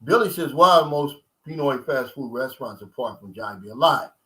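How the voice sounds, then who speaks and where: A man's voice: medium pitch, expressive, fairly quick, fairly bright tone. A man in his fifties hosting a live stream, reading viewers' comments and answering them in loose, streetwise talk. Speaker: vlogger reading live chat. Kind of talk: casual live-stream chat.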